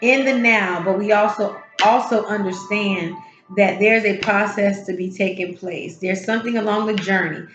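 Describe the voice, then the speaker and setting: A woman hosting a tarot and spiritual channel, her voice a little hoarse, talking slowly and encouragingly from her home healing space. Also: medium pitch, echoey room, muffled recording, over music. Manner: soft, unhurried, encouraging, with drawn-out pauses. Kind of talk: casual spiritual encouragement talk.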